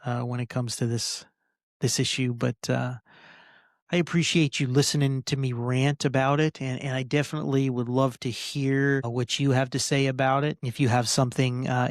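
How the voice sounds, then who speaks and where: Man in his forties, flat delivery. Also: mid-range voice, dry recording, clean studio sound. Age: 40s. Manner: halting, repetitive phrasing; frustrated, sweary. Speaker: podcast host. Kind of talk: casual personal rant to listeners.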